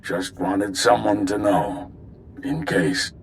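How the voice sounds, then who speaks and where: An actor playing an older, resolute mentor knight in a video game, speaking tersely with weary resolve. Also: male voice, on the low side, lively intonation, slow pace, gravelly, full character voice. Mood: resolute, solemn. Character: resolute older male Jedi mentor, gruff and fond. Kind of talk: video game cutscene acting.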